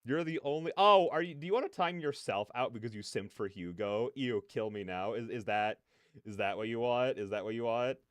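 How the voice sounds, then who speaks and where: A man's voice: mid-range voice, a little fast, no echo. A man chatting casually on a livestream as a reaction host, close to the mic.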